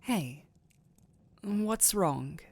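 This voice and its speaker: Woman playing a gentle, slow-spoken fantasy doctor. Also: fairly low pitch, animated, full character voice. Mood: gently worried. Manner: tender, fussing, softly questioning. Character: gentle, caring, perceptive male fantasy doctor. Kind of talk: intimate fantasy audio roleplay addressed to listener.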